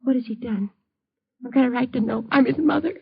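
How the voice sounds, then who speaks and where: A woman's voice: fairly high pitch, fairly quick, gravelly, husky, exaggerated voice. An actress playing a frightened, fretful bedridden mother haunted by a fire, in an old-time radio drama. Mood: demanding. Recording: dull lo-fi vintage radio recording.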